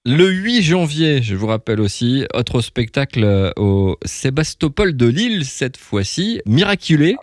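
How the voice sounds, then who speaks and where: A man's voice: medium pitch, very animated, measured pace, few pauses, no room sound, studio quality. A man from northern France hosting a radio interview in a casual, chatty style.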